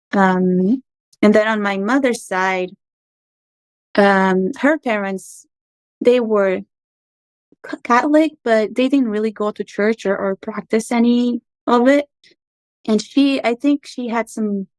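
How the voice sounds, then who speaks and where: A woman in her thirties, from Portugal and raised abroad, earnestly recounting her life story as a podcast guest with frequent pauses. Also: medium pitch, clean tone, dry recording. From Portugal, raised in Angola and Macau. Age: 30s. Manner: reflective, earnest, with frequent ums. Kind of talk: personal storytelling interview.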